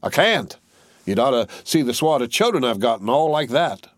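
A man voicing a wounded rural soldier who talks in a halting, drawling backwoods dialect, for an audiobook. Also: medium pitch, lively intonation, dry recording, clean studio sound, pitched above the performer's own voice, natural voice. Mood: rambling folksy. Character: wounded rural male soldier, rambling, folksy. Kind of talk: audiobook character voice.

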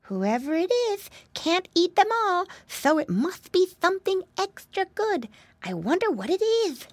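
Woman doing an excitable high-pitched little boy piglet. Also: a little slow, dry recording, heavily acted. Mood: wondering. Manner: eager, exclamatory.

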